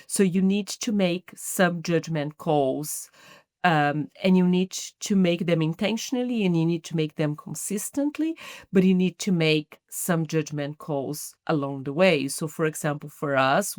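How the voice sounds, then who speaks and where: Woman, Brazilian accent, deep voice, measured and reflective, dry sound. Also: darkish tone, dry recording. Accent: Brazilian Portuguese. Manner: thoughtful, measured, many restarts. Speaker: guest, marketing executive. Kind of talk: podcast interview.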